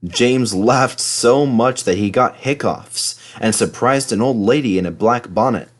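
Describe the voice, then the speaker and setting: A man's voice: medium pitch, a little slow. A man reading a scripted children's story aloud as narrator. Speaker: storybook reader.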